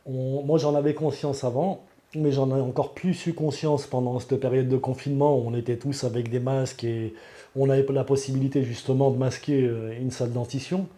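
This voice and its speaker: A man's voice: medium pitch, level tone, brisk, warm tone. A man, giving an unscripted testimonial as a patient in an earnest, emphatic way. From Lyon, France. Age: 40s.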